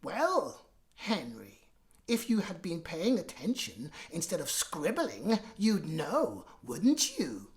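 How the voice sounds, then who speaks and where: A woman's voice: fairly low pitch, wildly swooping pitch, slow, slight background noise, heavily acted. A woman voicing a strict, bossy schoolteacher who scolds and drones in a children's storybook reading. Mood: haughty, scolding. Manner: haughty, scolding, emphatic.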